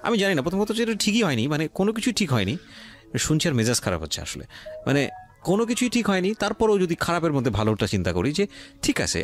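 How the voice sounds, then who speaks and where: A man with a Bangladeshi accent hosting a radio interview, commenting emphatically and with strong opinions, dropping English phrases into his talk. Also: fairly high pitch. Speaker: radio show host.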